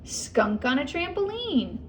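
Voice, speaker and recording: A woman's voice: sits high, very animated, slow pace, slight background noise, pitched above the performer's own voice, heavily acted. A woman playing a grumpy porcupine turned joker. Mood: jokey. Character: grumpy male porcupine learning to joke.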